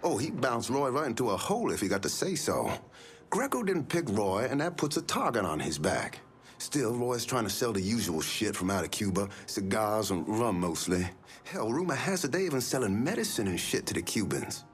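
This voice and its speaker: A man's voice: fairly low pitch, sing-song, moderate pace, a little hiss or hum. A man playing a wry, talkative smuggling informant in a video game, with a folksy, colloquial manner. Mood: wry, gossipy.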